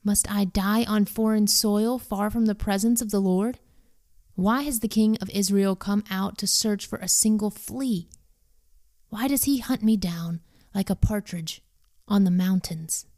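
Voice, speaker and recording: A woman's voice: mid-range voice, a little slow, a little mellow, lightly acted. A woman voicing a bold young male fugitive warrior in a Bible story, earnest, declamatory and pleading. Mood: aggrieved pleading.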